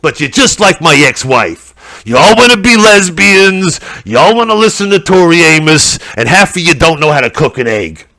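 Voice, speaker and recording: A brash, foul-mouthed podcaster played by a man rants and rambles from a comedy stage. His voice sits fairly high and swings all over the place. The tone is bright and forceful throughout. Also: big pitch swings, normal speed, dry recording, heavily acted, low-quality recording. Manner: ranting, profane, rambling. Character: brash bigoted American male podcaster. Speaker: stand-up comedian. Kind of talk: stand-up character act.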